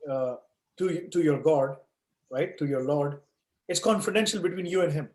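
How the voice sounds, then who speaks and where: A man's voice: on the high side, normal speed, a little mellow. Man teaching over an echoey call. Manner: explains with everyday analogies, frequent "right?" and "you know". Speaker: online course instructor answering questions. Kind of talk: live online Q&A session. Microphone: video call. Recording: echoey room.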